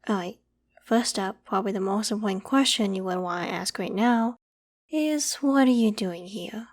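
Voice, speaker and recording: A woman voicing a polite, protective young female werewolf with a secret, in a gentle, reassuring ASMR roleplay. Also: mid-range voice, moderate pace, close to an everyday voice. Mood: caring, inquisitive. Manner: gentle, reassuring, hesitant when evasive. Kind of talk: ASMR roleplay, acted one-sided conversation.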